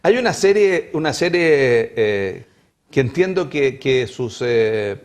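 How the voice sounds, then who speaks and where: A man's voice: mid-range voice, very animated, takes it slowly. A man hosting a TV interview, asking long questions full of fillers.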